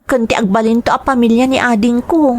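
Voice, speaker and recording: A woman's voice: on the high side, steady tone, fairly quick, darkish tone, lightly acted. A woman acting a determined, dutiful young adult daughter in an audio drama, with an Ilocano Filipino accent. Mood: earnest, hopeful. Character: determined, dutiful grown daughter seeking work abroad.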